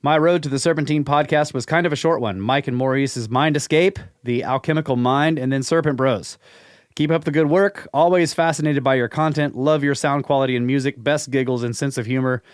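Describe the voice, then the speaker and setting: A man in a podcast studio reads a listener's email and chats loosely about it. His voice sits in an ordinary middle pitch, and the room sounds dry and close. He comes across as easygoing and a little skeptical, with frequent chuckles. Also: a little fast, no echo. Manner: laid-back, skeptical, chuckles often. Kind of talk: casual podcast chat and email reading.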